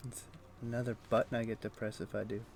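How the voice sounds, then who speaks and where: A man streaming a video game, thinking aloud in a low-key, conversational way with casual commentary. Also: level tone, fast, gravelly, a little mellow, a little hiss or hum. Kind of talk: casual live gameplay commentary and chat.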